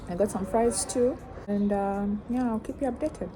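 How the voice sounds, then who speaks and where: A woman's voice: mid-range voice, normal speed, background noise. A young woman chatting casually to camera as a travel vlogger. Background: background music.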